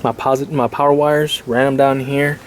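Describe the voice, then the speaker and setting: A man giving a casual, unscripted how-to in an informal, halting, repetitive way, like a hobbyist vlogger. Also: mid-range voice, little pitch movement, slight background noise. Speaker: vlogger showing a DIY car install. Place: in and around a Jeep.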